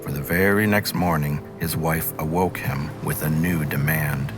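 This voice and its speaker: A man's voice: extremely low pitch, steady tone, moderate pace, music in the background. A man reading a fairy tale aloud as a storyteller, in scripted narration.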